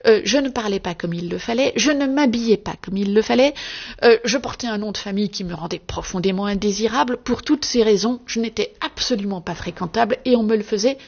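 A woman from Belgium answering interview questions as an author, articulate and measured with a wry, self-ironic touch. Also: mid-range voice, gravelly, darkish tone, highs cut off.

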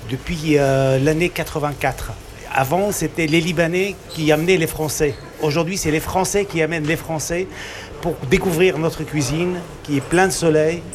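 A man answering a street interview, promoting his restaurant's food with frequent fillers. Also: on the high side. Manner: warm promotional, hesitant fillers. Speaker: restaurant worker.

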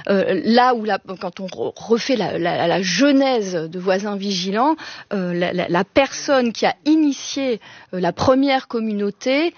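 A woman with a French accent and a husky voice, explaining things analytically in a radio discussion, in formal phrasing while searching for her words. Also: mid-range voice, lively intonation. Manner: hesitant, word-searching, measured formal phrasing. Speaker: expert guest. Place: radio studio. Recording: slightly dull, dry studio sound.

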